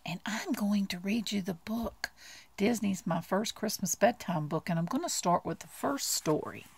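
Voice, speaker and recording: A woman reading a bedtime storybook aloud in an expressive storytelling style. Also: medium pitch, moderate pace, gravelly, husky. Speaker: storybook reader.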